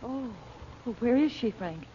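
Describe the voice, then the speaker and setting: A woman's voice: a little high, lively intonation, unhurried. Anxious radio-serial mother. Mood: anxious questioning. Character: Dot, anxious, caring mother in adventure serial. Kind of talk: old-time radio serial acting. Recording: dull, noisy vintage radio recording.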